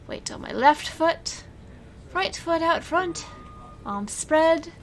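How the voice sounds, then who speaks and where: A woman's voice: extremely high pitch, lively intonation, slow, slight background noise, exaggerated voice. A woman playing a showy, defiant young magician in an audio drama, with theatrical delivery and fierce protectiveness. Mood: hushed, tense. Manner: theatrical showman delivery, swinging to hushed narration. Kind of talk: audio drama acting with first-person narration.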